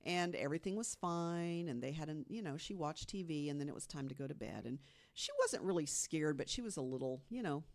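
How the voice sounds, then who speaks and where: A woman on a podcast, casually telling an urban legend, with lots of "you know" and hushed tones for the scary moments. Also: deep voice, expressive, a little fast. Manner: chatty storyteller, fills with "you know", drops to hushed tones for the scary parts. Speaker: podcast co-host. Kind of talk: casual storytelling chat.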